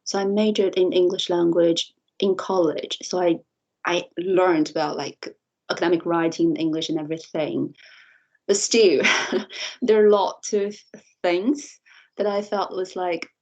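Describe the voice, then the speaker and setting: Low-voiced woman, native Mandarin speaker. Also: fairly even intonation, measured pace, darkish tone, highs cut off. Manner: thoughtful, frequent light laughter and restarts. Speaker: interview guest, lecturer. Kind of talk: reflective podcast interview answer.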